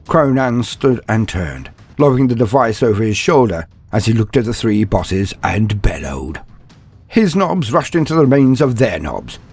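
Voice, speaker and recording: A man narrating a scripted fantasy story in a grandiose, theatrical manner, with wry, deliberate pauses. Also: on the low side, gravelly, husky. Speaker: narrator of fan fiction lore. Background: background music.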